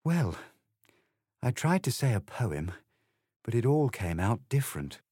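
Fairy-tale girl played by a male reader: polite, bewildered, melancholy, lifted pitch, lively intonation. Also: moderate pace, breathy edge, no room sound, clean studio sound.

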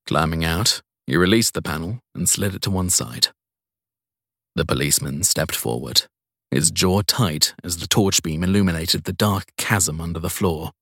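Low, husky man narrating crime fiction. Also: normal speed, fairly bright tone, no echo, studio quality. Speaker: audiobook narrator.

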